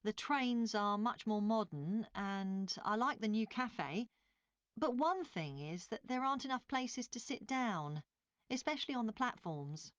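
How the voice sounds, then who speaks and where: A woman's voice: on the high side, expressive, unhurried, no echo. A woman playing a polite train passenger answering a survey in a scripted dialogue. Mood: mildly pleased. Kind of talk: scripted listening-test dialogue.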